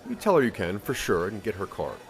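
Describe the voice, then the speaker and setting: A man's voice: lowish voice, very animated, fast talker. A man narrating a scripted second-person story for an audio drama, with a dramatic storytelling manner.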